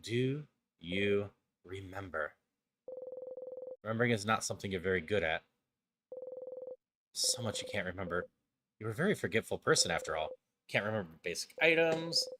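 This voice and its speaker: A man streaming a video game, chatting casually and reading its narration aloud in a thoughtful, relaxed way. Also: on the low side, normal speed, pauses often, background noise. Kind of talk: casual gameplay chat and dramatic narration. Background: background music.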